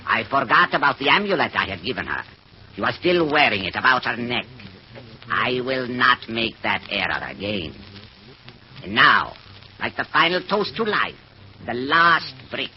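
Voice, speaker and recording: An actor playing a coolly murderous cult leader in 1940s radio drama style, gloating and theatrical. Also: male voice, medium pitch, big pitch swings, normal speed, natural voice. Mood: grandiose menace. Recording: muffled, noisy old radio recording.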